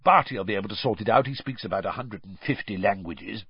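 A man voicing a jovial, boyish middle-aged wizard official in a storybook, booming and eager. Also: fast talker, husky, dry recording, muffled recording. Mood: comfortable, breezy. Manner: booming, eager, cheerfully careless.

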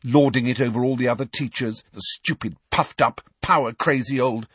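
A man's voice: medium pitch, fairly even intonation, brisk, fairly bright tone, slightly rough, no room sound, voice pushed up. A man reading an audiobook, voicing a fierce, clever teenage girl who speaks sharply and viciously. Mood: scornful.

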